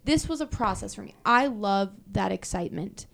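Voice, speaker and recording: Animated, opinionated young woman chatting. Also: mid-range voice, moderate pace, creaky. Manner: animated, opinionated, rambling with many restarts. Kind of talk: casual chat.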